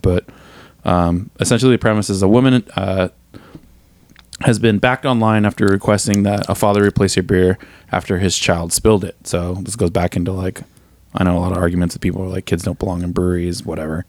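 A man's voice: low-pitched, fairly even intonation, fairly quick, darkish tone, no echo. A man hosting a casual podcast, swearing often and reading a story aloud with many fillers. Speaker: podcast host.

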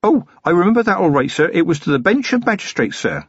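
An eager young country constable, put on by a male narrator, nervous and deferential. The voice sits high and jumps about, hesitant, with a questioning lilt. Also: big pitch swings, quick pace, breathy edge, no echo, muffled recording, full character voice.

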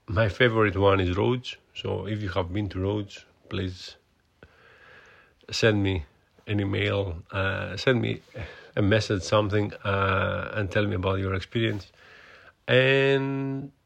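A man's voice: fairly low pitch, steady tone, slow, vocal fry. A man with a Greek accent, hosting a solo podcast and talking with many hesitations and fillers. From Greece. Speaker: podcast host.

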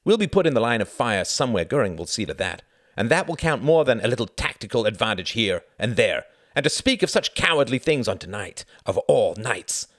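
A man voicing a brash, hot-tempered, boastful German fighter pilot in an audiobook, forceful and menacing. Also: fairly low pitch, animated, fairly quick, no echo, clean studio sound. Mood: angry. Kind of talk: acted audiobook dialogue.

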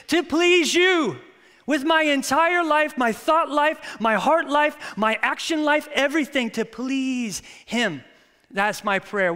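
A man in his thirties, a pastor, preaching a sermon with prayer and lively stories that he acts out. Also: very high-pitched. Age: 30s. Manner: animated, storytelling with acted-out quotes. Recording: long reverb of a church hall.

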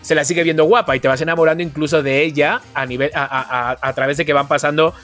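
A man's voice: fairly high pitch, fairly even intonation, fast, fairly bright tone, soft background music. A man with an accent from Spain chatting casually and effusively as a podcast co-host, speaking with emphasis. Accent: Spanish from Spain. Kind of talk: casual chat.

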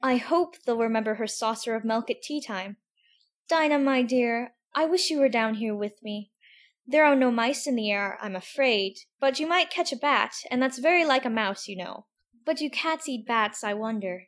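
A woman's voice: a little high, lively intonation, normal speed, lightly acted. A woman playing a curious, chattering young girl in a full-cast audiobook, talking to herself in a prim, wondering way. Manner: prim, wondering self-talk.

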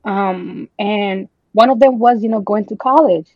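A woman's voice: medium pitch, measured pace, no echo, lo-fi sound. Chatty young woman from El Salvador. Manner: chatty, many ums, slips into Spanish words. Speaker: podcast guest. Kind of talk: casual podcast chat.

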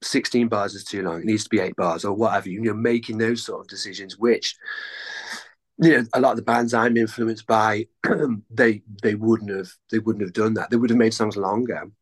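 A man's voice: lowish voice, fairly even intonation, moderate pace, slightly rough. A Welsh man in his thirties, a musician chatting casually in an interview, rambling and self-deprecating with lots of fillers. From North Wales. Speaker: guest musician.

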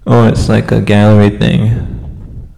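A man's voice: lowish voice, completely flat delivery, takes it slowly, creaky, warm tone, big-room sound. A man in his thirties from California, co-hosting a podcast in a hesitant, casual chat with frequent laughs. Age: 30s. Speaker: podcast co-host.

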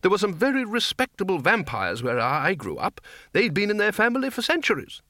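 A man's voice: sits high, sing-song, unhurried, fairly bright tone, dry recording, clean studio sound, voice pushed up, heavily acted. A man voicing a brusque, impatient wizard Arch-Chancellor in a comic fantasy audiobook, snapping and stumbling over his words. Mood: snappish.

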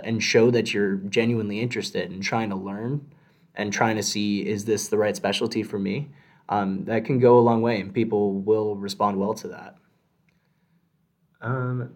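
A man's voice: medium pitch, darkish tone. A young man in his twenties, a medical student, chatting casually and rambling a little as he gives advice in a discussion. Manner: rambling, lots of fillers and restarts.

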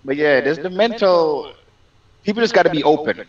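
A man in his thirties with a Jamaican accent, a guest in a casual conversation, speaking in a laid-back way that comes in quick bursts. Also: a little high, lively intonation, distant mic, muffled recording.

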